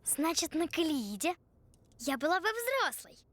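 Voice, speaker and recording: A woman voicing a small, curious and cheeky young girl in an animated film. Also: very high voice, a little slow, dry recording, full character voice. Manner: inquisitive, pert.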